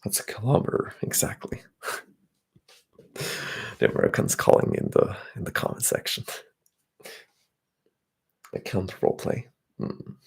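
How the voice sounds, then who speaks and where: A young man, chatting softly and often whispering on an ASMR livestream while reading out comments. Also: a little slow, long pauses. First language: Bulgarian. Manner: soft-spoken, drifting into whispers. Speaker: ASMR streamer.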